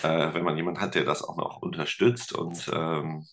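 A man's voice: extremely low pitch, steady tone, normal speed, a bit bright. A middle-aged man chatting in an interview, rambling with lots of fillers and self-deprecating humor. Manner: rambling, hesitant, many fillers. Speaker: guest, teacher and musician. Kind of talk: casual interview chat.

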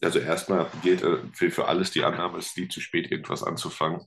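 A man with an extremely low voice, speaking German in a relaxed interview. He is a teacher and musician in his thirties who thinks aloud, pausing on fillers and restarting sentences as he goes. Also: gravelly, a little hiss or hum. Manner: thoughtful, many fillers and restarts. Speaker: teacher and musician, podcast guest. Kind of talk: casual interview talk.

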